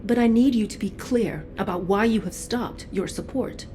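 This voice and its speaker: A woman's voice: medium pitch, moderate pace, mellow, slight background noise, natural voice. A woman voicing a bold human negotiator who speaks firmly and challengingly. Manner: firm, challenging. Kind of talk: game cutscene dialogue. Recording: music underneath.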